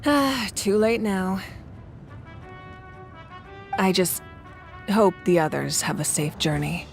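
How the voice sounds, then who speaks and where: An actress playing a confident, composed warrior ruler in a video game, speaking with measured authority. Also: female voice, medium pitch, expressive, vocal fry. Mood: wistful. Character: commanding, confident adult female ruler and warrior deity. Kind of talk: dramatic video game voice acting. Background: music.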